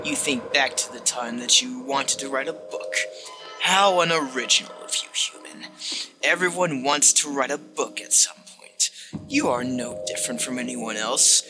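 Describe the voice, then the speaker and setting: A man voicing a sinister, contemptuous disembodied game narrator, delivered in a condescending, theatrical and deliberate way. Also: medium pitch, over music, lightly acted. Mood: cold menace. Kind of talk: dramatic reading of a text-based game.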